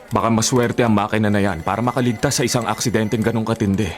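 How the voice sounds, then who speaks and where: Low-voiced, skeptical racing chief played by a man. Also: fairly even intonation, fast, natural voice. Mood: serious, directive. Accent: Filipino. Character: skeptical, authoritative male racing team chief. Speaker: radio drama actor. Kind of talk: Filipino radio drama acting. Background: music.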